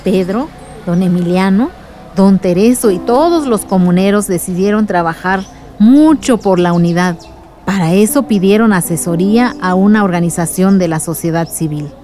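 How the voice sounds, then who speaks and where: Reflective aunt telling village stories, played by an actress. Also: female voice, medium pitch, normal speed, warm tone, slight background noise, close to an everyday voice. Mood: fond, nostalgic. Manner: reflective, poetic phrasing. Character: warm reflective village aunt recounting the past to her niece. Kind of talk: radio drama storytelling.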